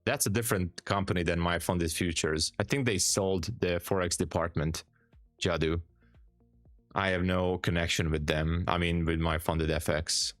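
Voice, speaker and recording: A man with a Hungarian accent chatting calmly on a live stream, reading viewer comments aloud and answering them in an easygoing way, often repeating words for emphasis. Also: low voice, moderate pace, no room sound, soft background music.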